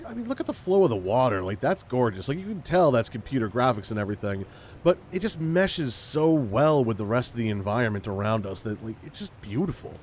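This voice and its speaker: A man's voice: mid-range voice, a little mellow, a little hiss or hum. A man hosting a gaming video with casual, rambling commentary, thinking aloud as he plays. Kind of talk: casual let's play commentary.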